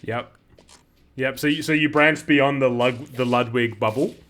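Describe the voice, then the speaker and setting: An upbeat man hosts a gaming channel and laughs easily as he chats. His voice sits at an ordinary pitch, with nothing unusual in how it sounds. He keeps the talk friendly and moving. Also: a little slow, fairly bright tone. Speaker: host. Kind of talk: gaming video narration and interview.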